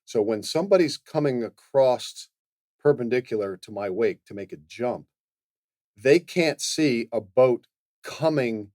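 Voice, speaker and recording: Podcast guy handing out casual advice, medium pitch, bit halting, repeats himself, dry room. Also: animated, darkish tone, no room sound. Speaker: podcast co-host.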